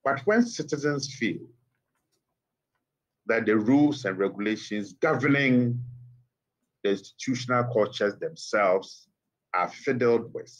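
A middle-aged man with a Ghanaian accent, a guest speaking by phone in a TV interview, measured and emphatic with pointed rhetorical questions. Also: medium pitch, animated, poor audio. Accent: Ghanaian English. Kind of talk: TV interview commentary. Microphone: phone line.

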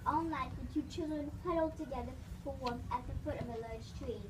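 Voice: high-pitched, fairly quick. A young narrator reading a scripted fairy tale for a puppet show. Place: classroom puppet theatre. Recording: distant mic, noisy classroom.

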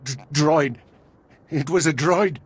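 Slow, stammering wounded townsman. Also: fairly high pitch, husky. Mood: dazed, pained. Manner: halting, stammering. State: groaning, in pain. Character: dazed, wounded, frightened male townsman. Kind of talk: dramatic video game voice acting.

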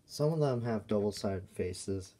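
A man giving a casual, unscripted show-and-tell as a toy reviewer, speaking in halting, broken phrases. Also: lowish voice, expressive, warm tone. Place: indoors at a table by a window.

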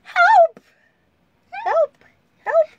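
A woman voicing an excitable witch from a storybook, crying out shrilly. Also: extremely slow, no room sound, lo-fi sound, exaggerated voice. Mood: alarmed. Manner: shrill, exclamatory cries.

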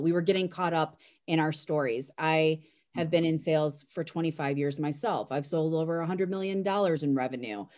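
A woman's voice: sits low, a little mellow. A middle-aged woman hosting a webinar panel over a video call, chatty and self-deprecating as she introduces the guests. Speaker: host and moderator. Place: home room, indoors.

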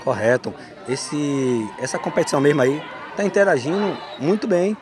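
A man's voice: a little high, moderate pace, over music. A man, a youth football coach, answering earnestly with many hesitations into a handheld mic outdoors. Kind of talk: outdoor interview. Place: stadium stands outdoors. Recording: crowd noise from a football ground. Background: crowd at a youth football match.

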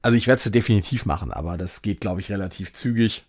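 A man's voice: lowish voice, quick pace, darkish tone. A man doing casual, jokey live-stream commentary as he plays a game, chatty and self-ironic. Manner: jokey, chatty, self-ironic, slipping into mock-grand English.

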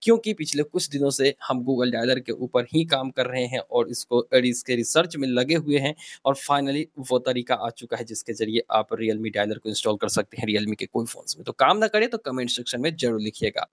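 A man's voice: medium pitch, fairly bright tone, no echo, clean studio sound. A man presenting an informal step-by-step tech tutorial, explaining things directly to viewers.

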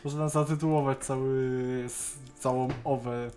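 A man's voice: medium pitch, fairly even intonation, a little slow, dark tone, soft background music. A man chatting casually on an online call, often stammering and repeating the starts of words. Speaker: co-host on a Skype call. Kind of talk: casual chat reviewing an animated series.

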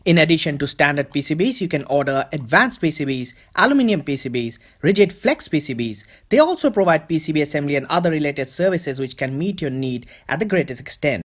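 Tutorial host, scripted narration, animated and varied pitch. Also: fairly quick, few pauses. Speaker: host of an electronics DIY tutorial channel.

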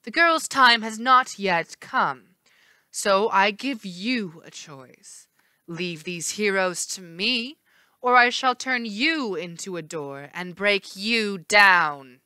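A stately queen of the gods who speaks slowly and with calm command, as a woman reading a story puts her on. Her words come measured and regal. The voice stays bright and close, with no room sound. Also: on the high side, clean tone, dry recording, voice pushed up, full character voice.

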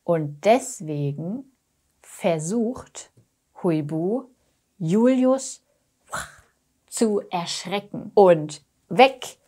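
A young woman from Germany teaching with slow, simplified storytelling for language learners, using simple phrases, pauses and emphasis on key words. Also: on the low side, lively intonation, mellow, husky. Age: young adult. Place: small attic room indoors. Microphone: lapel mic.

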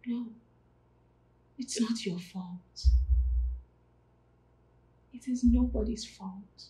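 A Nigerian woman playing a grieving young mother who speaks tenderly and haltingly to her baby son in a dramatic monologue. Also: on the high side, dark tone. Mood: tender grief. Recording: echoey room.

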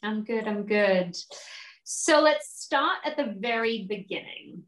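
A woman's voice: mid-range voice, animated, takes it slowly, a bit bright, rough recording. A woman in her thirties hosting an interview over a video call from home. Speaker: interview host, event director. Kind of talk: scripted introduction, then friendly interview questions. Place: living room at home, indoors.

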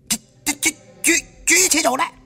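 A man's voice: very high voice, normal speed, vocal fry, pushed and bright, faint music behind, pitched above the performer's own voice, full character voice. A man voicing a brash young tomb raider in an audiobook, stuttering through crude, taunting banter. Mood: tense shout.

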